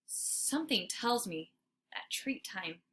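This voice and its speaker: A woman's voice: mid-range voice, expressive, slow pace, fairly bright tone, a little hiss or hum. A woman reading aloud as a teacher would, narrating a children's story expressively with dramatic emphasis.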